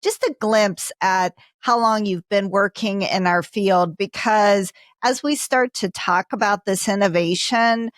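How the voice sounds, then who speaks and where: A middle-aged woman co-hosting a podcast, setting up a question for a guest in a halting, searching way. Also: mid-range voice, no echo, studio quality. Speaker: podcast co-host. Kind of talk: conversational interview.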